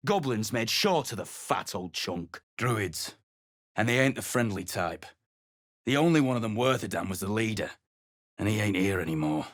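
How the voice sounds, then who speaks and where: A man voicing a gruff, rough-spoken mercenary leader, surly and contemptuous. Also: on the low side, animated, moderate pace, fairly bright tone, no room sound, studio quality. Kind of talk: video game voice acting.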